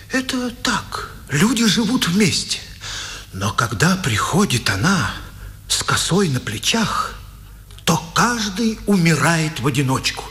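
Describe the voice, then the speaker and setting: An old prisoner in a vintage Soviet radio drama, sorrowful and wise. He speaks slowly in a fairly high voice. His manner is tender and fatherly, with broad swings of intonation. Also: a bit bright, exaggerated voice. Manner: slow, reflective, tender.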